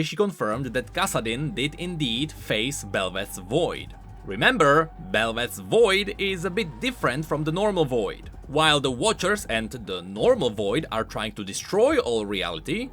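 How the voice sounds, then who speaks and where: A man's voice: on the high side, a bit bright. A man hosting a scripted explainer on video game lore, talking informally with emphatic, swooping stresses.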